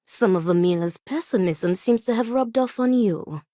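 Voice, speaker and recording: An actress voicing a grave, principled and devout woman in a video game, speaking in formal, measured, stern phrases. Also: medium pitch, warm tone, no echo, studio quality, natural voice. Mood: solemn, earnest. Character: grave, principled woman acting as duel second, devout, weary. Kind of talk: video game character dialogue.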